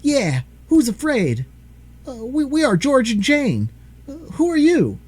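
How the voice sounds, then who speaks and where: A man's voice: very high-pitched, wildly swooping pitch, unhurried, a little mellow, husky, voice pushed up, full character voice. A man voicing two young children, a boy and a girl, in a storybook reading, sounding anxious and raised. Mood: bold.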